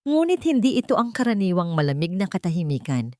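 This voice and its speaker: Woman, Filipino accent, deep-pitched, romance story narration, dry recording. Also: big pitch swings, quick pace, a little mellow, no echo.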